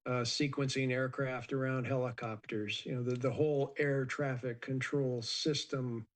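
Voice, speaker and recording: An American man with a mid-pitched voice gives expert commentary in an interview. He speaks off the cuff, explaining things in a measured, matter-of-fact manner.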